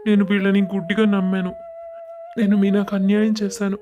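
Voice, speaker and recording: A wronged, emotional young husband played by a man speaks in an audio family drama. His voice sits high for a man, with little melodic movement. Music runs softly underneath. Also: monotone, a little fast, lightly acted. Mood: hurt, bewildered. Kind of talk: scripted audio story dialogue.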